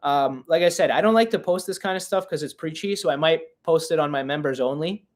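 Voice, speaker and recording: A young man in his twenties hosts a live Q&A stream in a loose, chatty way, often poking fun at himself. His voice sits a little high for a man. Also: brisk. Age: 20s. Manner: chatty and self-mocking, reading chat aloud. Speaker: podcast host.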